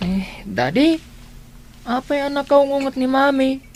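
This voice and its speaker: A woman's voice: high-pitched, unhurried, darkish tone, a little hiss or hum. A woman with an Ilocano accent playing an innocent young child in a radio drama, with simple, pleading speech. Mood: innocent, complaining.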